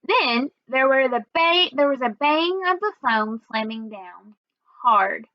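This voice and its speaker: A woman with a high voice reads a children's book aloud as its first-person narrator. Her delivery is expressive and measured. The recording is very dry and slightly dull. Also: no echo. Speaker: read-aloud storyteller.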